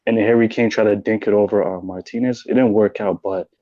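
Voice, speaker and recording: A man's voice: on the low side, steady tone, fast talker, warm tone, no echo, lo-fi sound. A man calling in to a fan show, breaking down the match in earnest, rambling detail. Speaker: caller, football fan. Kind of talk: casual match analysis.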